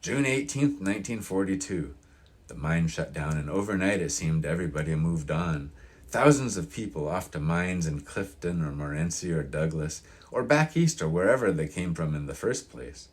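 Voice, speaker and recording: A man's voice: deep voice, lively intonation, moderate pace. A man voicing an opinionated elderly small-town local in an audiobook, with a grating, folksy, emphatic delivery. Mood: proud, reminiscing. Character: crusty old mountain-town man, proud, opinionated, wistful. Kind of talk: audiobook character reading.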